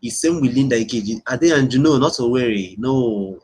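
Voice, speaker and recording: A man's voice: medium pitch, lively intonation, a little fast, darkish tone, a little hiss or hum. A man with a Nigerian accent hosting a casual live webinar, chatting with his online audience and often repeating his phrases. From Imo State, Nigeria. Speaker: webinar host, internet marketing coach.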